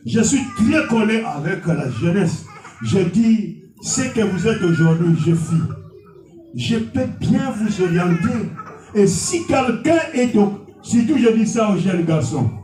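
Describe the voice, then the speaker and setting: A man whose first language is Portuguese, preaching an impassioned sermon in a church and often shouting. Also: sits high, slight background noise. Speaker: pastor. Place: church hall. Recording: echoey hall, distant mic, faint music.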